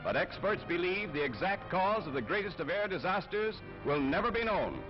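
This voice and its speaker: A man's voice: high voice, big pitch swings, normal speed. A man reading scripted 1930s newsreel narration in a formal, declamatory way. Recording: muffled vintage 1930s newsreel soundtrack. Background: music.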